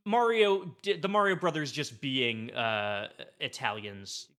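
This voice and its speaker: A man chatting casually as a podcast guest, effusive and animated, stumbling over his words with enthusiasm. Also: medium pitch, takes it slowly.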